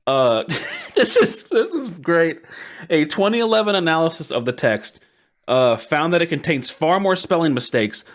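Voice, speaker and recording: Giggly podcast co-host, medium pitch. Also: big pitch swings, normal speed. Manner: amused, giggly. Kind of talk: casual chat, summarizing research.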